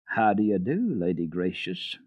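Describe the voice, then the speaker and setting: A man's voice: low-pitched, sing-song, normal speed, darkish tone. A man voicing an innocent, polite little girl in a storybook reading, speaking carefully, distinctly and earnestly. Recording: dull, roomy old radio recording.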